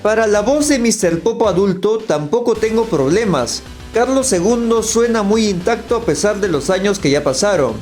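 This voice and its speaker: Male video critic, high voice, scripted opinionated review over faint music. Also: expressive, normal speed, hardly any pauses, a little mellow.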